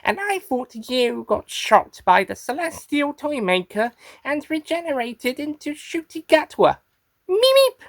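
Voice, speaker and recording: Squeaky lil alien critter, super high, cutesy but scheming, wheedly, swoops around, close dry sound. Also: female voice, big pitch swings, measured pace, no room sound, exaggerated voice. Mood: mock innocent. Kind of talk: fan parody character voice recording.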